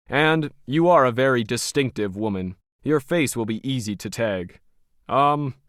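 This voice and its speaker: A man's voice: medium pitch, no echo, natural voice. A male narrator plays a bold woman hacker in an audio story. She is controlled and defiant, keeping things businesslike and guarded. The recording is dry. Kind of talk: audio fiction character dialogue.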